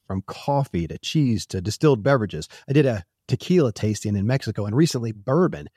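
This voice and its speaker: A man's voice: mid-range voice, dark tone, no echo, clean studio sound. A man from Kentucky reading a scripted first-person advertisement. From Kentucky, United States. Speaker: ad reader.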